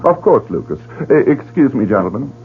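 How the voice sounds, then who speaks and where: A man's voice: mid-range voice, big pitch swings, moderate pace, lightly acted. A man playing a suave, sinister club director in an old radio drama, teasing and theatrical. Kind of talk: 1940s radio drama acting. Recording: phone-like old radio broadcast.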